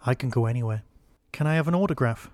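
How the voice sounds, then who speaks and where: A man's voice: mid-range voice, fast talker, darkish tone, no echo, lightly acted. A man voicing a weary time-travelling alien who earnestly pleads for a rest, in an audio story. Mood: pleading.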